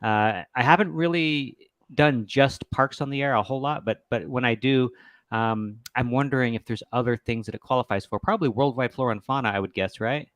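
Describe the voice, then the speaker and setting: A man's voice: medium pitch, a little fast, fairly bright tone, no echo. An American man hosting a casual hobby discussion, asking questions in a hesitant, rambling way. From United States. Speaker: host.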